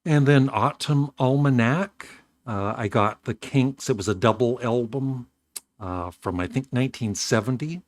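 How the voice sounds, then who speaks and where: An older man from Canada, hosting his own show, chatting casually and rambling a little, his voice a bit rough today. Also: mid-range voice, unhurried, no room sound. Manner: rambling, self-correcting, warm chuckles. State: slightly rough voice. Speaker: host. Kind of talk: casual vlog talk.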